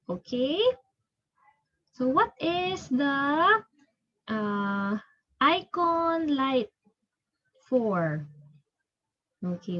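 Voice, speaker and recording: A woman teacher giving a reading lesson, explaining step by step in a halting, repetitive way and checking understanding. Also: fairly high pitch, lo-fi sound.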